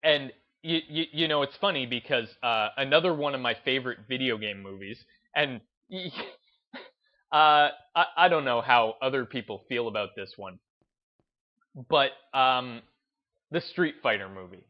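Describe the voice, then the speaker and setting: A man hosting a gaming video essay, speaking in an emphatic, deliberate, unscripted way and repeating phrases to drive his points home. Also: medium pitch, highs cut off. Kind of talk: opinionated commentary, unscripted talk to listeners.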